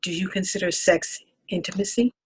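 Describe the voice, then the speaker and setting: A woman playing a composed, patient couples counselor in a dramatic table read, talking in a measured, instructive way. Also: mid-range voice, slightly rough, poor audio. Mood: calm, instructive.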